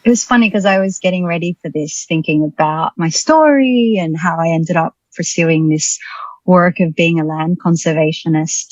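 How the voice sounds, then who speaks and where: A woman tells her own story in a reflective, searching way, pausing on ums and restarting her sentences. Her voice sits a little low. The recording is dry and somewhat dull. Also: a little mellow, dry recording. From Australia. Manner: thoughtful, many fillers and restarts. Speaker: podcast guest.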